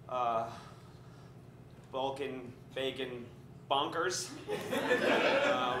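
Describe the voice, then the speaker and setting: A man playing an uneasy reluctant head chef. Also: mid-range voice. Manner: hesitant, awkward, full of ums. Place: small stage. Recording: echoey stage, loud noise.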